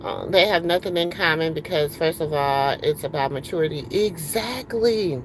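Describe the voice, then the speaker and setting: A woman's voice: deep voice, moderate pace, noisy background. A middle-aged woman ranting on a casual livestream from a car, heated and rambling with many fillers. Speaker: livestream host.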